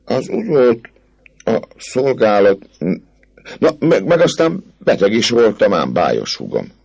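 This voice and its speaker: Casting: a bragging, evasive army captain, stumbling over fillers, voice pitched up, as a male reader puts him on. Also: sing-song, gravelly, a little mellow, husky, heavily acted. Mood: fumbling. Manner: hesitant, stammering with fillers.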